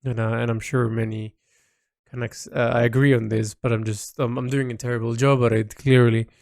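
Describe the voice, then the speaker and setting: A man's voice: medium pitch, flat delivery, a little fast, gravelly, darkish tone, no echo, studio quality. A man recording an informal, reflective audio diary, speaking hesitantly as he thinks aloud and lets phrases trail. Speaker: artist recording a personal audio log.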